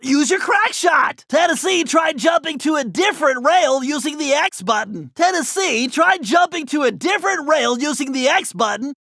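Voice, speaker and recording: A man voicing a nerdy, brainy and anxious turtle strategist in an animated video game, with a nasal voice and precise, earnest, wordy delivery. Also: extremely high pitch, moderate pace, hardly any pauses, no echo, raised voice, clean studio sound, full character voice. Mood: urgent, encouraging.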